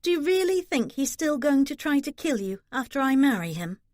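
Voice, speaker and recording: A woman voicing a scornful, defiant young noblewoman in an audiobook, with a sharp, challenging manner. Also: high-pitched, sing-song, brisk, no room sound, studio quality, voice pushed up. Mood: firm, scornful. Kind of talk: audiobook character dialogue.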